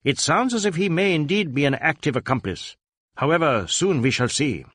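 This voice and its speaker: Male reader doing Indian police superintendent, sharp, commanding, animated pitch, suspicious, detective story. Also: normal speed, no room sound, clean studio sound. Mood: brisk, confident. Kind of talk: audiobook character acting.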